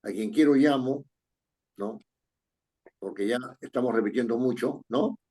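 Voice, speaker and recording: Husky-voiced man teaching writing. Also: mid-range voice, warm tone. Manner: reads text aloud slowly, then explains with rising questions like "¿no es cierto?". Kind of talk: correcting a student's paragraph in class.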